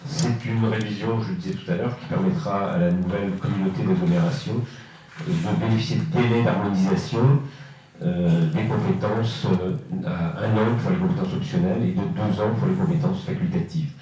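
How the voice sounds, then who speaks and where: A man with a low, mellow voice presents to a municipal council as a councillor. He explains step by step, haltingly, with many drawn-out hesitations. The sound is heavily echoing, picked up by a distant microphone. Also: slight background noise. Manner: halting, hesitant delivery with frequent long filler pauses. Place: reverberant indoor meeting room. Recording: echoey, muffled room, distant mic.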